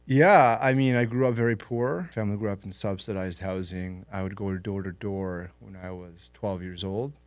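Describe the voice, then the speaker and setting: Man, young adult, low voice, relaxed storyteller, dry close recording. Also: normal speed, few pauses, no room sound. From born in Lebanon, raised in Canada. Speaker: podcast guest, entrepreneur. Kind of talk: interview storytelling.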